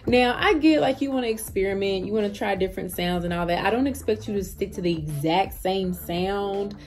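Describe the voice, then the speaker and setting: A woman's voice: mid-range voice, a little fast, a little mellow. A woman giving a casual, slangy music reaction, repeating phrases for emphasis. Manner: slangy, informal, emphatic repetition. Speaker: reaction video host.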